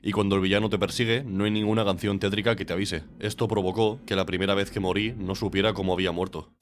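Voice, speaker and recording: A man's voice: low voice, steady tone, fast, soft background music. A man with a stuffy, congested voice from a cold, reading a scripted game review with dry, sarcastic humor. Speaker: game reviewer, channel host.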